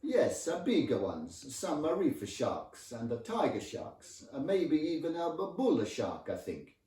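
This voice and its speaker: A man's voice: on the high side, huge pitch swings, measured pace, warm tone, roomy sound, far from the mic, pitched above the performer's own voice. A man voicing an enthusiastic, macho Italian husband with a lilting, sing-song Italian accent in a comic story. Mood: eager.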